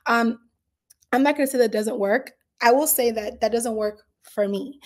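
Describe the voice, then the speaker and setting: A woman's voice: a little high, moderate pace, a little mellow. A woman from the Dominican Republic giving an earnest, candid summit talk, with frequent fillers and restarts. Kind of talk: conversational online presentation.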